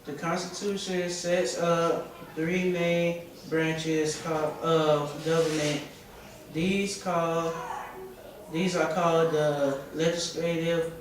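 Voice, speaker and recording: A seventh-grade boy reads a prepared school speech slowly in a flat, even tone. He stumbles over words and restarts them. The recording is very echoey, as if heard across a large room. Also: fairly high pitch. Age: 12-13 years old, seventh grader. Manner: halting, stumbles over words and corrects himself. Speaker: student contestant giving a speech. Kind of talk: read-aloud school speech. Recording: echoey room from far off, noisy background.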